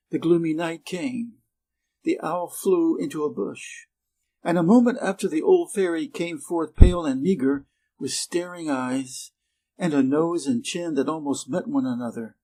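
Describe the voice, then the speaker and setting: Warm-toned American man reading a fairy tale. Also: on the high side, slightly rough. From Wisconsin, USA. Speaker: reader. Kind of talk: storybook reading.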